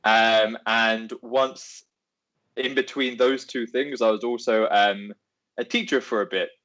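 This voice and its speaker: A man's voice: medium pitch, steady tone, takes it slowly, a bit bright. A man in his twenties chatting casually as a livestream guest, heard over a lo-fi online call. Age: 20s. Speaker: guest, young trainee engineer. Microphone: video call microphone. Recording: dull lo-fi online call audio.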